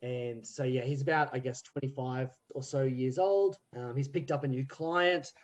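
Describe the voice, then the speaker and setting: A man with a voice of ordinary pitch talks as a guest on a podcast. He is hesitant, thinking aloud and filling pauses with ums and uhs. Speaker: theatre group member, guest. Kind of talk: casual podcast chat.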